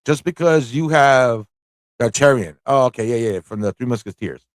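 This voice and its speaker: A man's voice: medium pitch, expressive, moderate pace, dry recording, studio quality. A man hosting a casual live-stream trivia show, chatty and playful, often thinking aloud to his viewers. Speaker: host of a live pop culture show.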